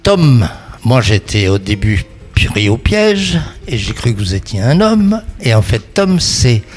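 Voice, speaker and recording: A man's voice: medium pitch, very animated, unhurried, slightly rough, soft background music. Chatty male radio host. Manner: chatty, conversational questioning. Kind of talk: radio interview.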